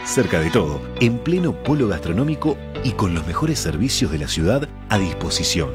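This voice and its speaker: A man reads a radio ad in a smooth promotional delivery. His low voice swoops lively in pitch over clear music. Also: moderate pace. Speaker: ad announcer. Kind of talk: radio ad read.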